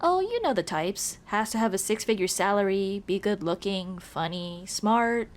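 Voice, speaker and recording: A woman's voice: medium pitch, expressive, moderate pace, natural voice. A woman playing a young tomboy childhood friend, casual, teasing and caring, in a scripted ASMR roleplay. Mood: hesitant, candid. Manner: casual, teasing, addresses listener as dude. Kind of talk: ASMR roleplay, scripted one-sided conversation.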